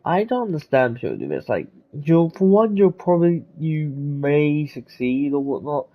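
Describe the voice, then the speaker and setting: A man comments casually over his gameplay, rambling and restarting as he thinks out loud. His voice is mid-pitched. His mic sounds dull and lo-fi. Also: very animated, normal speed. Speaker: gamer commenting.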